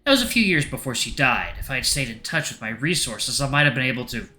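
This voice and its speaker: A man's voice: medium pitch, big pitch swings, quick pace, bright, forceful tone. A man voicing a weary older informant who is dry, guarded and grudgingly protective.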